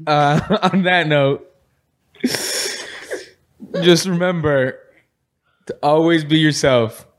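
A man's voice: a little high, slow pace. A man speaking as a podcast co-host in casual, joking banter. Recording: music playing behind the voice. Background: guitar music.

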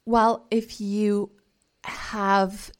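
A woman's voice: medium pitch, little pitch movement, drawn-out words. A woman who grew up in Bulgaria, speaking English as a second language, talks in an earnest, enthusiastic way and strings examples together. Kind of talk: reflective casual talk.

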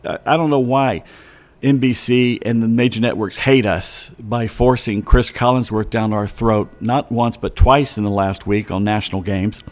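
A middle-aged man with a slightly hoarse voice, ranting in a chatty monologue with many fillers and restarts. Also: lowish voice, fairly even intonation, normal speed, a little mellow. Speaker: vlogger. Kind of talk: casual rambling monologue.

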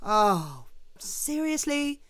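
A woman's voice: high voice, big pitch swings, drawn-out words, voice pushed up, heavily acted. Woman reading a kids story doing a grumpy greedy spider guy, fussy and scolding. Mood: annoyed. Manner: grumbling, fussy. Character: greedy grumbling male spider trickster.

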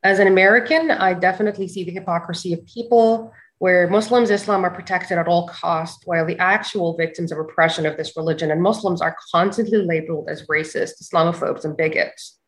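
A woman from Saudi Arabia talking as a guest on a video call, hesitant and halting, also reading out viewer comments. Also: fairly low pitch, fairly even intonation.

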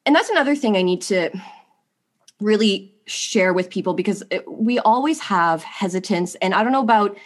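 A woman's voice: mid-range voice, animated, moderate pace. Sniffly young woman telling her story. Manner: rambling, lots of likes and you-knows. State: sniffly from allergies. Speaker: podcast guest. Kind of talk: candid personal story in conversation.

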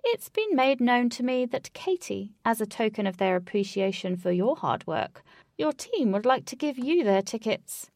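A woman's voice: fairly high pitch, very animated, normal speed, a little mellow, no echo, studio quality. A woman voicing an elderly, proud male former player giving a speech in a storybook reading.

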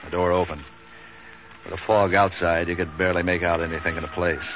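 An American actor playing a street-wise, wisecracking waterfront man for hire in 1940s radio noir, with a clipped, sardonic, deadpan delivery. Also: low-pitched, fairly quick, over music. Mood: tense, deadpan. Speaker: lead character and narrator. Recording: dull, hissy 1940s radio recording.

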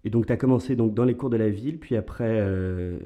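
A man's voice: fairly low pitch, brisk, phone-quality sound. A man hosting an interview podcast, talking casually with frequent hesitations. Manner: hesitant, many fillers. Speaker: podcast host. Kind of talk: conversational interview.

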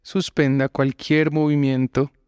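Slow, smooth-voiced man guiding relaxation. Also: on the high side, no room sound. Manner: calm, measured instructions with long pauses. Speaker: yoga nidra instructor. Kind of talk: slow guided relaxation meditation.

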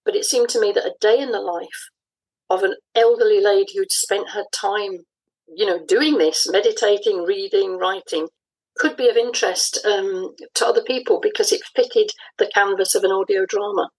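A woman giving thoughtful, explanatory interview answers in a conversational style, often pausing mid-phrase. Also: mid-range voice, a little mellow, husky, low-quality recording. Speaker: interview guest, audio drama writer.

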